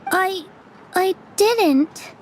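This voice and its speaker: Casting: frail small daughter in a game, high-pitched, courteous, shy and halting. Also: female voice, animated, a little hiss or hum, heavily acted. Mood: timid, apologetic. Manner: timid, hesitant, polite. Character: polite, frail little girl, daughter. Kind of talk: video game voice acting.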